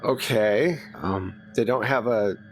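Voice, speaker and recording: A man's voice: on the low side, takes it slowly, soft background music, poor audio. A man acting as game master, narrating a tabletop roleplaying game.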